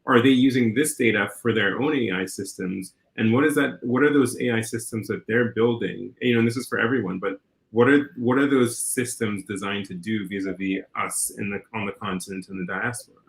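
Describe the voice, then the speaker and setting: A man with a fairly low voice moderates a panel, asking probing questions. He sounds like he is in an echoey room. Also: fairly quick, creaky. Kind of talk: panel discussion.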